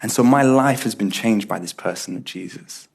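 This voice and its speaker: A man's voice: fairly low pitch, moderate pace, gravelly, slightly rough. A man, giving an earnest, reflective personal faith testimony. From Ethiopia and UK. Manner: reflective, earnest, builds in intensity. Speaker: testimony speaker.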